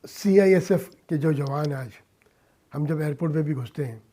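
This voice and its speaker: A mature Indian man speaking as an interview guest, earnest and emphatic, in deliberate short phrases with some English mixed in. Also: fairly high pitch, dark tone. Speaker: interview guest, social-cause filmmaker and philanthropist. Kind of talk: earnest radio interview talk.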